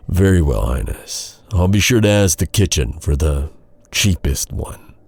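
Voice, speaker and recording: Man acting a deep, brooding fantasy knight. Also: gravelly, darkish tone, dry recording, exaggerated voice. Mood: flustered, soft. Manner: earnest, dramatic, halting with heavy sighs. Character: brooding, guilt-ridden male knight, bitter yet tenderly devoted. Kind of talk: dark fantasy ASMR roleplay acting.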